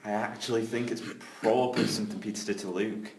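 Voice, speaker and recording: A man's voice: fairly low pitch, steady tone, fast, darkish tone, lightly acted. A man playing an earnest, loyal, conflict-shy brother in an amateur audio drama, sincere and informal. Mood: troubled, thoughtful. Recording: echoey room with background noise.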